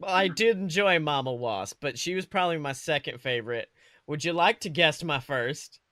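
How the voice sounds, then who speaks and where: A man co-hosting a casual movie review chat, talking in an excitable, slangy way with casual swearing. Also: a little high, moderate pace, dry recording, studio quality. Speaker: podcast co-host.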